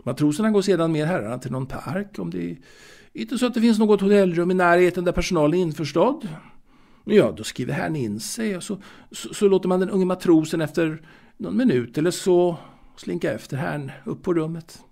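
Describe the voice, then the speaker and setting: Monologue drama role: naive, proud young sweet-seller, a man acting, halting delivery, gravelly and a touch high. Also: expressive, a little mellow. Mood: candid, musing. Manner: hesitant, repeating words. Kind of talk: intimate audio drama monologue.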